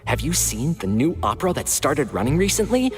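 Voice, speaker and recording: A woman's voice: low voice, very animated, brisk. A woman voicing a friendly, awkward, enthusiastic youngster in a video game, hesitant and rambling. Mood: eager. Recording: game music behind.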